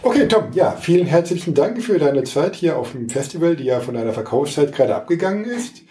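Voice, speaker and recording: A man hosting a podcast, asking questions at a festival and reading the show's outro in a lively, clearly articulated way. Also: on the high side, fairly quick, a little hiss or hum. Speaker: podcast host and interviewer. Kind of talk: interview questions and scripted outro. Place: outdoor music festival.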